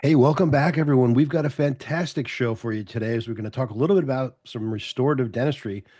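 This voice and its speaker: A man's voice: mid-range voice, fast, mellow. A man hosting an interview podcast, introducing his guest and putting questions in conversational talk. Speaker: podcast host.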